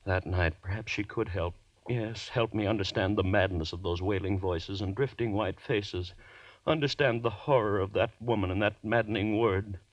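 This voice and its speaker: An actor playing a haunted, guilt-ridden man unravelling into terror, with intense, halting, melodramatic delivery in a 1940s radio horror play. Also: fairly low pitch, no echo, highs cut off, close to an everyday voice. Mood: tormented. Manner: intense, halting, melodramatic, from hushed dread to shouting. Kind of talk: 1940s radio horror acting, first-person narration. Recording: vintage 1940s radio recording.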